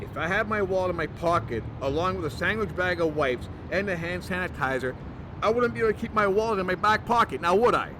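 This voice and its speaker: A man's voice: sits high, lively intonation, quick pace, noisy background. A man playing a fussy, germophobic monster hunter, testy and flirtatious, in a comedy horror audio drama. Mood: testy, defensive.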